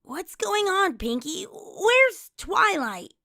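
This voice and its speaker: Cocky cartoon mare, high scratchy voice, wildly swooping pitch, blunt, confident. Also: female voice, sing-song, slow pace, sharp tone, full character voice. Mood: puzzled, irritated. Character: brash, confident young female cartoon pegasus pony.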